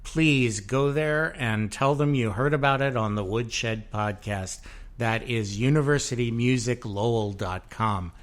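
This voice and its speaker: A man's voice: medium pitch, unhurried. A man hosting a podcast, chatting loosely and rambling with many fillers while he promotes his venue. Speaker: podcast host. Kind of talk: casual chat, promoting the venue.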